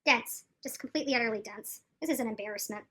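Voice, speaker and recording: Casting: woman live commentator, very bright forceful high voice, opinionated, repeats herself for emphasis. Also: quick pace. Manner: emphatic, repeats phrases for stress. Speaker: streamer commenting on court news.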